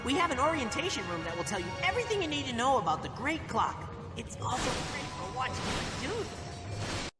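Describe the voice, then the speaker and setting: A man's voice: very high voice, very animated, brisk, in a large hall. An actor voicing a fussy, nervous, eager-to-please little male caretaker robot, with game music behind.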